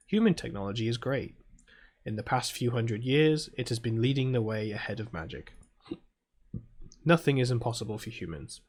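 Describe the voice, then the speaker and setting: A British man with an ordinary mid-range voice hosts a gaming video. He is soft-spoken and chilled, moving between reading story text aloud and casual commentary in an ASMR-like manner. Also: mid-range voice.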